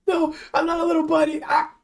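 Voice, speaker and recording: A young woman streamer chatting casually as she works, thinking aloud, her voice lower than usual today, with bursts of high excitement. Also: brisk. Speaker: streamer and artist. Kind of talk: casual live-stream chat while working.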